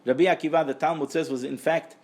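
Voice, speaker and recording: A man's voice: fairly high pitch, steady tone, fast, a little mellow. A man giving a Torah lecture as a religious teacher, speaking emphatically and repeating phrases for stress. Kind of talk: Torah lecture to a live group.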